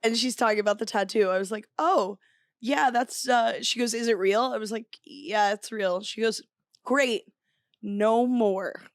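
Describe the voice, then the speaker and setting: A young woman co-hosting a podcast, telling animated stories and laughing often in casual chat. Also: on the high side, measured pace, no room sound, studio quality. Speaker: podcast co-host.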